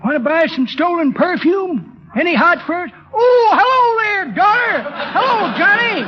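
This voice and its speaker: A man playing a jokey, cackling old-timer in 1930s radio comedy, folksy and excitable as he wisecracks. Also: very high-pitched, a little slow. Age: older. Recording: dull old radio recording.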